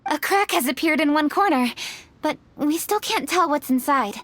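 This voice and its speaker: An actress voicing a brisk, analytical young woman leader in a game, speaking crisply and matter-of-factly. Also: high voice, animated, fairly bright tone, slight background noise. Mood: businesslike. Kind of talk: video game cutscene voice acting.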